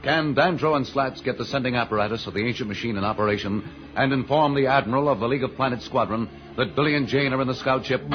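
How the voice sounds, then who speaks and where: A man announces a vintage radio adventure serial. His mid-pitched narration is theatrical and suspenseful. Loud organ music plays behind him on a dull, aged recording. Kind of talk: dramatic old-time radio serial narration.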